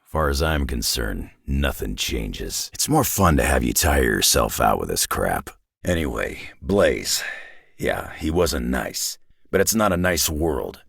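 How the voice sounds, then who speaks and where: A man voicing a gruff, weary middle-aged superhero brawler for a video game, with a deep raspy growl and terse, dry, folksy tough-guy talk. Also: big pitch swings, a little slow, a bit bright, no room sound, heavily acted. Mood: blunt, world-weary. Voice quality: deep gravelly rasp.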